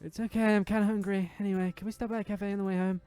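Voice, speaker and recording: Guy doing a gentle cheery teen girl for a game, pitched up, close dry sound. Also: level tone, very fast, clean tone, dry recording, faint music behind, close to an everyday voice. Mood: sweet, cheerful. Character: sweet, apologetic, food-loving teenage girl.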